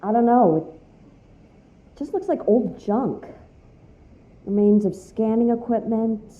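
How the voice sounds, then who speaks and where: A woman's voice: on the high side, slow pace, dark tone, dull audio. A woman playing a playful, teasing space explorer and ghost show host in an audio drama. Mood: focused, narrating.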